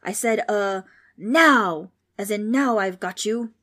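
A woman's voice: mid-range voice, normal speed, a bit bright, lightly acted. A woman voicing a grumpy, bossy old male ghost in a children's storybook, blustering and screechy. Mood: cranky, blustering. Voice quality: screechy, roaring.

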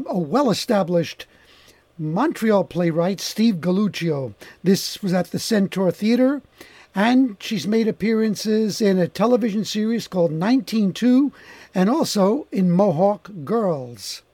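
A man hosting a talk show, introducing his guest in a measured, emphatic way and then interviewing her. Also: sits high, a little mellow, no room sound.

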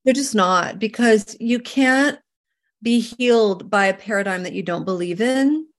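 A middle-aged woman from Canada, a holistic healer answering interview questions in an earnest, emphatic way that sometimes slows down to make a point. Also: on the high side.

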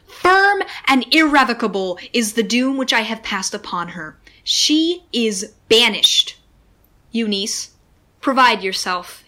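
A woman's voice: medium pitch, sharp tone. A woman playing a stern, wrathful usurping duke in a Shakespearean radio play, with an imperious, formal manner.

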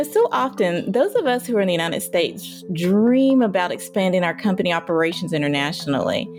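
Upbeat woman podcast host. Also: medium pitch. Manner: upbeat and enthusiastic. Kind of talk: scripted intro then casual interview.

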